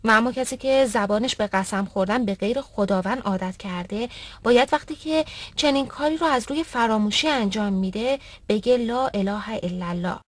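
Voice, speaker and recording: A woman's voice: on the high side, dry recording, close to an everyday voice. A woman playing a pious, earnest mother instructing her son in an educational cartoon. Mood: instructive. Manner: didactic, earnest. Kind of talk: dubbed educational cartoon dialogue.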